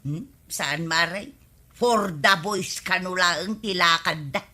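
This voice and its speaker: A woman's voice: on the low side, takes it slowly, sharp tone, full character voice. An actress playing a gossiping village woman in an Ilocano radio comedy drama, with an Ilocano accent. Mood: doubtful.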